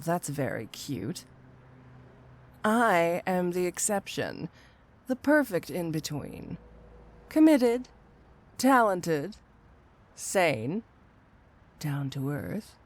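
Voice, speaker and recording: A woman's voice: low-pitched, fairly bright tone, full character voice. A woman playing a flirty, sly supervillain cat burglar in an intimate ASMR roleplay, with a coy, drawling, playfully condescending delivery.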